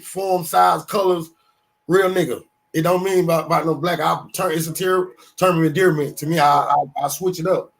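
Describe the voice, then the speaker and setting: A man in his fifties with a fairly high voice, talking loosely on a podcast. He stays animated and profane, stumbles over restarts, and keeps circling back into his stories. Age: 50s. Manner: animated, swearing, storytelling with restarts. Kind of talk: casual podcast talk.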